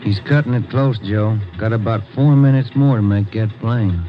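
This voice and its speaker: A man's voice: on the low side, normal speed, lightly acted. A man playing a laconic, wry police detective in understated 1940s radio drama style. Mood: dry, matter-of-fact. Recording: noisy, dull old radio recording.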